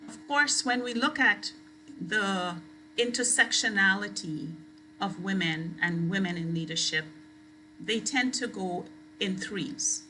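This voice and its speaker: A woman delivers an academic talk. Her voice is bright and projected, and she speaks slowly, weighing and stressing her phrases. There is slight background noise on the recording. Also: mid-range voice, poor audio. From Trinidad and Tobago. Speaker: guest lecturer. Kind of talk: academic lecture.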